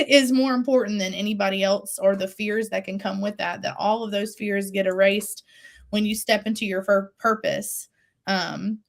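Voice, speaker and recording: A woman in her forties, a singer-songwriter talking earnestly and reflectively in an interview, her voice still recovering after a loss. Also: medium pitch. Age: 40s. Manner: earnest, faith-driven, with laughs and restarts.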